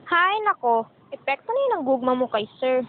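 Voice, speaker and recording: A young Filipino café worker played by a woman speaks in a high, swooping voice, theatrical and exasperated as a teasing best friend. The radio drama recording is tinny and phone-like. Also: big pitch swings, moderate pace. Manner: theatrical, sassy, exclamatory. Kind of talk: amateur romance radio drama acting. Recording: tinny phone-like band, faint background noise.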